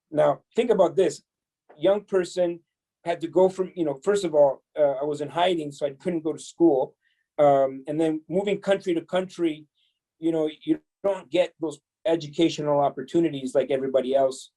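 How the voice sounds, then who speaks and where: A man in his fifties, born in El Salvador, telling his life story as a podcast guest in a relaxed way, chuckling and full of fillers. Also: on the high side, rough recording. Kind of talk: storytelling interview.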